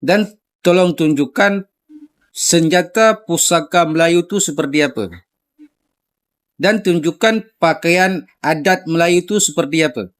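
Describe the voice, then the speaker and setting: A man's voice: a little high, unhurried. A man speaking directly to someone in a video response, insistently challenging him with repeated questions.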